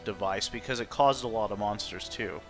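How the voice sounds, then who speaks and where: A man's voice: fairly low pitch, a little fast, fairly bright tone. A man giving rambling, informal playthrough commentary as a game streamer, with game music behind him.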